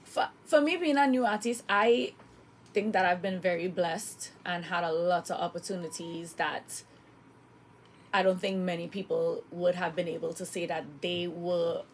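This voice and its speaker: A woman's voice: fairly low pitch, steady tone, moderate pace, slight background noise, rough recording. A young woman from Trinidad, a singer guesting in a radio studio, chatting and telling her story with acted-out quotes. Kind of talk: casual radio interview.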